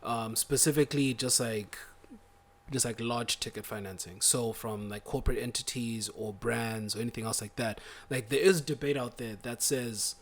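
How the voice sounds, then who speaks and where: A man's voice: medium pitch, fairly even intonation, normal speed. A man hosting a casual interview, asking probing questions in an informal, roundabout way. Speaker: interview host.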